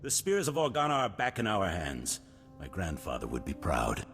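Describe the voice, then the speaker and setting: An actor playing a noble, resolute duke in a video game, husky, formal and stately in delivery. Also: male voice, sits low, wildly swooping pitch, moderate pace, fairly bright tone, heavily acted. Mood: grave, determined. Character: noble, resolute older duke, solemn war leader. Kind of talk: cinematic video game voice acting. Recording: long hall reverb, music behind.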